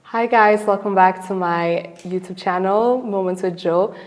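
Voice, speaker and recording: A woman's voice: medium pitch, a little slow, over music. A woman hosting a podcast, talking in a casual, conversational interview style. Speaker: podcast host interviewing a relative.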